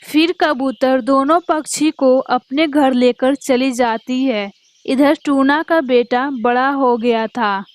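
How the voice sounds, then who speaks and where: Slow, high-voiced woman narrating. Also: no echo. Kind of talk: scripted story narration for cartoon.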